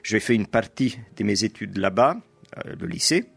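A middle-aged man from Italy speaks French with an Italian accent. His voice sits fairly low with a gravelly edge. He talks as an interview guest, measured and thoughtful, pausing often. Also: lively intonation, no echo. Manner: measured, explains with frequent hesitations. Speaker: guest, teacher and editor. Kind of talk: interview conversation.

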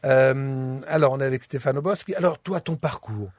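Male radio host: husky, dry, playful and teasing. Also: mid-range voice, normal speed, darkish tone, no echo. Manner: jokey, teasing. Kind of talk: casual radio chat.

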